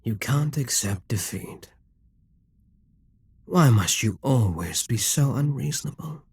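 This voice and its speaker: A man voicing a possessive, scheming demon king in an audio roleplay, calm and commanding, slipping into whispers as he coaxes. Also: mid-range voice, breathy edge, no echo, heavily acted. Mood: hypnotic. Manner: slow, commanding, coaxing, drops to whispers.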